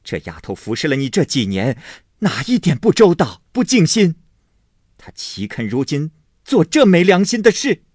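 A woman's voice: low voice, very animated, moderate pace, a little mellow, husky, no echo, clean studio sound, voice pushed up, exaggerated voice. A reader voicing a flustered older mother who urgently remonstrates with her son in a storybook reading. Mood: indignant.

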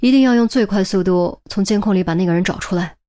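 女声演绎的干练严肃女警官. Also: female voice, medium pitch, fast talker, dark tone, natural voice. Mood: decisive. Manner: authoritative, clipped, no-nonsense. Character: firm, brisk senior policewoman, adult, exasperated mentor.

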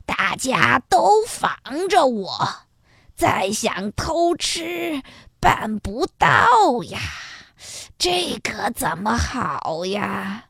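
A woman voicing a wicked, scheming old male wolf in a children's story, wheedling and whining with drawn-out words. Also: a little high, big pitch swings, gravelly, a bit bright, breathy edge, dry recording, clean studio sound, heavily acted.

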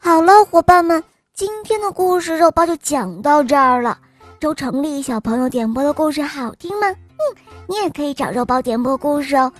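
A young woman hosting a children's bedtime story show, narrating in a sweet, sing-song, gently coaxing manner. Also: high-pitched, lively intonation, normal speed, faint music behind.

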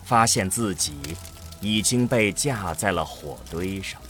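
Male narrator, slow pace, highly varied intonation, dramatic science fiction storytelling. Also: animated, fairly bright tone, faint music behind.

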